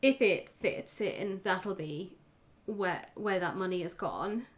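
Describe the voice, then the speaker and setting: A woman's voice: medium pitch, slow pace. A woman chatting casually in a group discussion, hesitant as she pieces her thoughts together. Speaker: tabletop roleplay podcast player.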